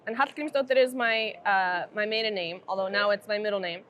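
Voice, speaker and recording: A woman from Iceland, a podcast guest, telling her story in a relaxed, friendly way. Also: medium pitch, fairly quick. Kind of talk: casual interview.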